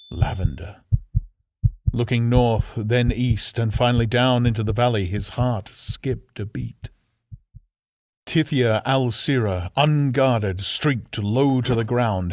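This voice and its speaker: A male audiobook narrator delivers dramatic fantasy prose. His pitch is average for a man and his pace is markedly slow. The delivery is grave and theatrical. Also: no echo, clean studio sound.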